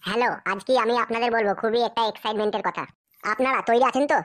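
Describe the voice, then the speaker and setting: A woman's voice: a little high, fairly even intonation, very fast, no echo. A woman giving a scripted promotional read as an advertiser.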